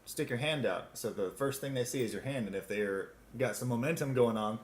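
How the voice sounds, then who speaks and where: A young man co-hosting a casual comedic chat, giggly and animated as he tells stories. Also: medium pitch, brisk, slight background noise. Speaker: co-host.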